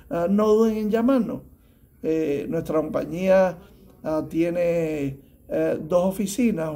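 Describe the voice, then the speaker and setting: Cuban guy in his 50s explaining tax stuff, gravelly and mellow, lots of uh and restarts. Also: on the high side. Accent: Cuban Spanish. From Cuba. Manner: halting, many fillers and restarts. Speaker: tax preparer. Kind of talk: informal advice to camera. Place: small office room indoors.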